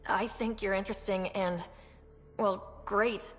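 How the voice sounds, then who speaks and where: A woman's voice: mid-range voice, fairly even intonation, measured pace, natural voice. An actress playing a young, supportive space explorer in a video game, casual and curious, with reverb and music around her. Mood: gentle, interested. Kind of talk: video game cutscene acting. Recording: reverberant hall, music under voice.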